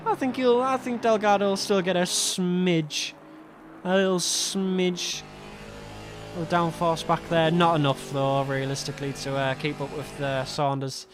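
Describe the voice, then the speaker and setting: A man's voice: sits high, level tone, measured pace, music in the background. Chatty man commentating a sim race. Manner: chatty, self-mocking, laughs often. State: voice going hoarse. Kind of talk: solo sim racing commentary. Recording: game car sounds behind.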